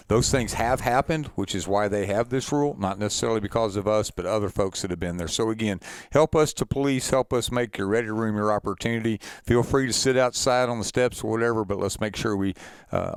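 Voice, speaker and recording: A man's voice: lowish voice, clean tone, dry recording. A man with a folksy, plain-spoken way, leading an informal instructional briefing as host.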